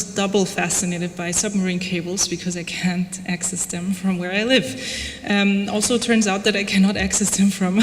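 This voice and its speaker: A woman from Austria gives an informal talk about her own research in a conversational, gently wry style. Her voice rings in a reverberant hall, with some noise in the background. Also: medium pitch. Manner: conversational, wry, frequent "like" and "you know". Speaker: lecturer presenting own research project. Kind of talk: informal lecture. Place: reverberant lecture room, before an audience.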